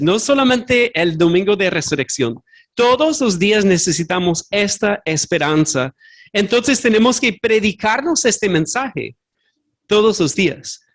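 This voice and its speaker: A male pastor preaching a sermon in a church, his voice sitting high for a man. He is earnest and direct. Spanish is not his first language, so he sometimes stops to hunt for a word and fixes his own slips aloud. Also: fairly bright tone. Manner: earnest, searches for words and corrects himself aloud. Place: church sanctuary.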